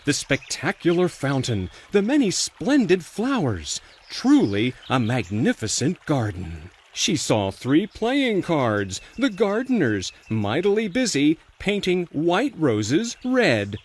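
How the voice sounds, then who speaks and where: A man's voice: on the high side, huge pitch swings, takes it slowly, few pauses, slightly rough. A man narrating a scripted children's storybook tale as its storyteller. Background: faint music.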